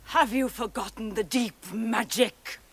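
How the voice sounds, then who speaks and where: A woman playing an imperious, menacing witch queen in a fantasy drama, with theatrical, declamatory delivery. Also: husky. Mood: cold, accusing. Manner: theatrical, declamatory, slow, swelling to shrill outbursts.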